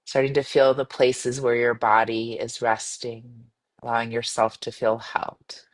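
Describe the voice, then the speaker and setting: Female meditation guide, mid-to-low voice for a woman, soothing and unhurried, gentle instructions with spacious pauses. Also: flat delivery. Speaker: meditation guide, yoga teacher.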